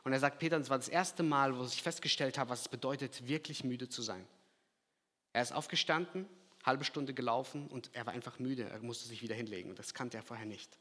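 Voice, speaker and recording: A man from Kazakhstan preaching a sermon, swinging from loud emphatic lines to quiet, hushed asides. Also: mid-range voice, a little fast. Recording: long hall reverb.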